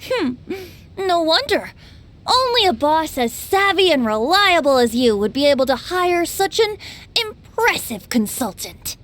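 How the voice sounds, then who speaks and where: A woman's voice: very high voice, very animated, a little slow, a bit bright, exaggerated voice. A woman voicing a cheerful young male bard in a video game, breezy, theatrical and effusive. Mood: chatty, flattering. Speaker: voice actor. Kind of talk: video game dialogue acting.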